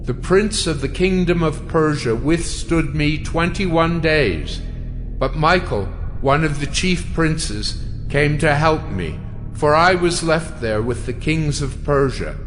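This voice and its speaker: A man playing a commanding yet reassuring heavenly messenger, solemn and deliberate, in an audio Bible drama. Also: a little high, lively intonation, fairly bright tone. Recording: long hall reverb, faint music.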